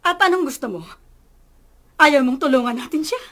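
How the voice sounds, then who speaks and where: Very high-voiced, emotional mother. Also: moderate pace, a bit bright. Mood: pleading. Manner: emotional, imploring. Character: devoted, distraught middle-aged mother defending her son. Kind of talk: radio drama acting.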